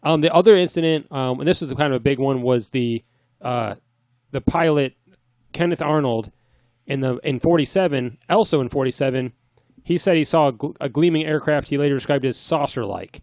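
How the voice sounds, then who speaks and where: A man's voice: medium pitch, brisk, a little mellow, no room sound. A man co-hosting a casual podcast chat, joking around and rambling through his stories. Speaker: podcast co-host. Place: small home studio room.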